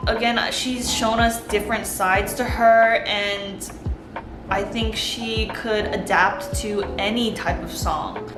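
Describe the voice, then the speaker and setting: A young woman talks eagerly and casually, with lots of "like" and a voice pitched a little high. Her voice sits in a roomy, echoing space. Soft music plays underneath. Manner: chatty, enthusiastic, lots of "like". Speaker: vlogger reviewing a TV show. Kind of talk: casual chat. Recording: roomy echo with background music. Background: soft background music.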